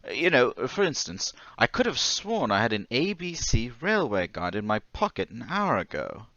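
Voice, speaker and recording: A man's voice: mid-range voice, big pitch swings, normal speed, fairly bright tone, no echo, dull audio. A man playing a nervous, befuddled older war veteran in a radio play, stammering and hesitant. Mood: confused, troubled. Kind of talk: old-time radio play acting.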